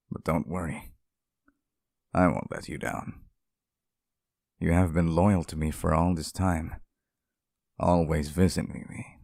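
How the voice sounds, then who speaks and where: A man's voice: sits low, gravelly, darkish tone, no echo, clean studio sound, full character voice. A man acting out a devoted, possessive demon in an intimate ASMR roleplay, sweet and tender with an undercurrent of menace. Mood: devoted, reassuring.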